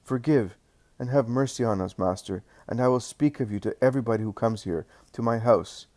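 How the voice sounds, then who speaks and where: A man's voice: medium pitch, brisk, mellow, natural voice. A man voicing a penitent middle-aged innkeeper who pleads with breathless fervor. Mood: pleading.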